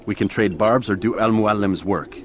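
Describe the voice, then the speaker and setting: An actor playing a proud, impatient assassin in a video game, terse and coolly confident. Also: male voice, on the low side, normal speed, natural voice. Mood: curt, confident. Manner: terse, flat confidence, curt. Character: proud, cool, impatient young male assassin. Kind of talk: dramatic video game dialogue.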